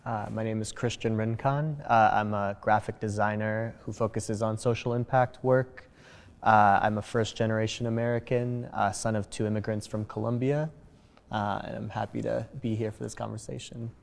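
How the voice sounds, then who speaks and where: Low gravelly guy, kinda hesitant, lots of uhs, echoey room. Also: fairly even intonation, normal speed. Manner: hesitant, filler-heavy introduction. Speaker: panelist. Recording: long reverb of a large hall.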